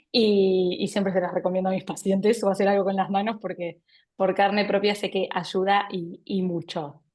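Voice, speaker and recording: A woman from Argentina with a Buenos Aires accent, a doctor talking over a video call, warm and hesitant, often repeating words as she thinks. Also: medium pitch. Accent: Buenos Aires Spanish. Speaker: internal medicine doctor, guest speaker. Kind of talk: online talk presentation.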